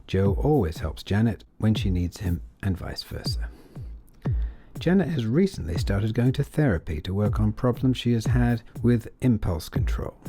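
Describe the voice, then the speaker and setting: A man reading scripted narration for an audio play in a measured, deliberate way. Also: on the low side, dark tone. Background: music.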